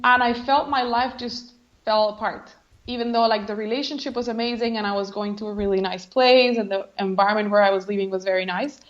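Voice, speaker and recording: A woman's voice: medium pitch, lo-fi sound. A woman from Colombia with a Colombian Spanish accent, a guest telling her story reflectively, often saying "like". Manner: reflective, uses many "like" fillers, laughs softly. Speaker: guest, creativity coach. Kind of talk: casual interview.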